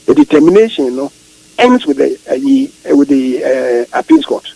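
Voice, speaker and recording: A man's voice: a little high, big pitch swings, normal speed, creaky, warm tone, rough recording. A man with a Ghanaian accent explaining emphatically in a radio interview, repeating phrases and asking rhetorical questions as he switches between Twi and English. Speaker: political interviewee.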